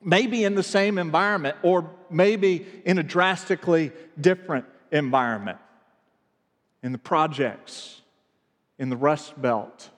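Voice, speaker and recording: A man's voice: on the high side, unhurried, long reverb tail. A man from the American South preaching a reflective sermon as pastor, earnest and building in emphasis.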